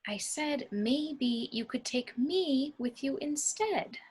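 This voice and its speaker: A woman's voice: high-pitched, expressive, slow pace, darkish tone. A woman reading a tense, insistent morgue doctor in an amateur film table read, urgent in manner. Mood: guarded, insistent.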